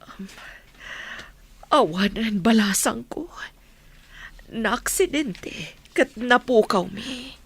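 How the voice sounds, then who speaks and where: Lady doing a gentle older mom, radio drama, hesitant and sad, very slow, swoopy intonation. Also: on the high side, expressive, slightly rough, heavily acted. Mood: sorrowful, hesitant. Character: gentle, grieving older mother. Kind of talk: Ilocano radio drama acting.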